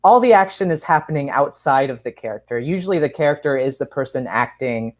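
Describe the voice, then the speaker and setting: A man's voice: mid-range voice, expressive, a little fast. Light-voiced man chatting on a podcast. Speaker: podcast co-host. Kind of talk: casual chat about a short story. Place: room at home, video call.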